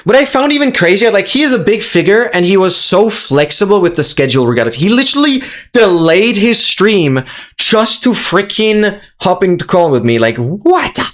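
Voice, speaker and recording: A young adult streamer from Austria, a native German speaker with an Austrian accent, chatting excitedly with viewers on a live stream and repeating phrases. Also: medium pitch, normal speed.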